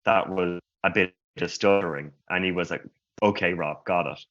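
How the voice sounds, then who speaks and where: A man who stutters giving a slow, reflective personal talk at a conference. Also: low voice, steady tone, a bit bright, slight background noise. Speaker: conference speaker. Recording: muffled lo-fi sound.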